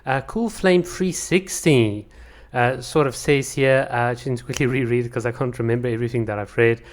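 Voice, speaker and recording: A man's voice: medium pitch, moderate pace. Casting note: male channel host, non-native English speaker, easygoing and chatty, answers viewer comments with frequent restarts. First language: not English. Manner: chatty, many fillers and restarts. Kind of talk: casual comment-reading chat.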